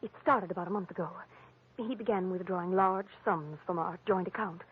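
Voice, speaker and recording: A woman's voice: lowish voice, brisk, a little hiss or hum, lightly acted. An actress playing a coy, anxious young wife of a rich man, hesitant and refined, in a 1940s-style radio drama. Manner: hesitant, breathy, faltering, refined. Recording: very muffled, phone-like old radio recording.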